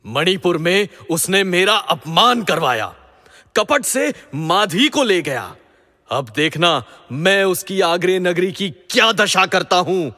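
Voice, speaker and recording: A man's voice: high voice, lively intonation, slow pace, pushed and bright, exaggerated voice. An actor playing an arrogant, vengeful king of the gods in a mythological audio drama, menacing and boastful. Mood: furious, vengeful. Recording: long reverberant hall echo.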